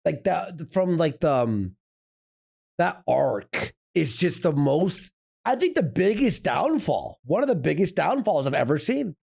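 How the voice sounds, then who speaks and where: A man's voice: a little high, sing-song, measured pace, vocal fry, darkish tone, breathy edge. A man co-hosting a show, reacting casually in blunt, emphatic, repetitive phrases with plenty of swearing. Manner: blunt, emphatic, repeats himself. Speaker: show co-host. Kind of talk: casual profane reaction talk.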